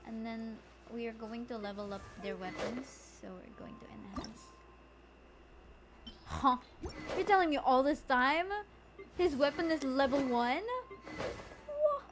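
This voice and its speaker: A woman chats casually while streaming a game. Her high voice moves at a slow pace and turns playfully exclamatory, with clear background noise of the game. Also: lively intonation. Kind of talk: casual live gaming commentary.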